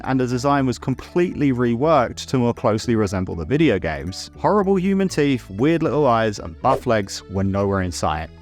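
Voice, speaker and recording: A man narrating a scripted video essay as host, casual and opinionated, with wry asides and the odd swear word. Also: medium pitch.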